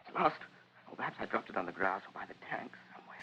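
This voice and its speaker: A nervous, distraught son in a muffled old radio play. His husky voice swings widely in pitch as he stammers and repeats himself to his father. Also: lively intonation, bright, forceful tone, heavily acted. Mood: panicked, distraught. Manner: halting, repetitive, nervous stammer. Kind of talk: 1950s radio drama acting. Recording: muffled vintage radio drama recording.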